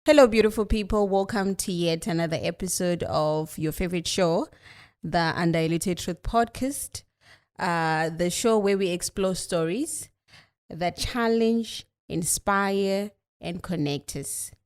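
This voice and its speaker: A woman's voice: fairly low pitch, measured pace, darkish tone. A woman hosting a podcast from a studio, welcoming a guest with upbeat, playful chat. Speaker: podcast host.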